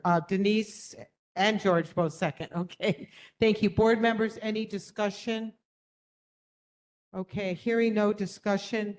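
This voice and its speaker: A woman chairing a community board meeting, brisk, friendly and procedural. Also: medium pitch, darkish tone.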